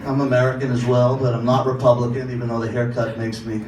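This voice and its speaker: A man's voice: medium pitch, flat delivery, quick pace. An older man from doing a profane stand-up set with crowd work at a comedy club. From Boston, Massachusetts. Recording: roomy club audio, mic far off, loud crowd laughter. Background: loud audience laughter and club noise.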